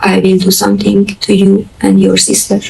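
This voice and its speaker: A young adult woman giving personal testimony as an interviewee, halting and speaking in short broken phrases. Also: medium pitch, monotone, creaky, darkish tone, rough recording. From outside India.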